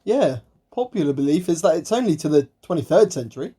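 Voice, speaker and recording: Dim but cocksure female sidekick played by an actress, low mellow voice, comic radio play delivery. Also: very animated, fast talker, husky, heavily acted. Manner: confidently wrong, comic. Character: dim, gullible, cocksure sidekick to an amateur sleuth. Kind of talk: amateur radio play acting.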